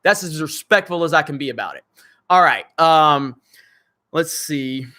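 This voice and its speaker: A man's voice: on the high side, animated, unhurried, pushed and bright. A man with a crackly voice hosting a casual livestream, reading viewer comments and answering in a blunt, joking way with some swearing. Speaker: channel host.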